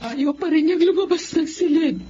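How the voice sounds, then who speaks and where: A woman acting a gentle, tearful middle-aged mother in a Filipino radio drama. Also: sits high, moderate pace, lightly acted. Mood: apologetic, sad. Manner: tearful, consoling. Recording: muffled radio drama with background noise.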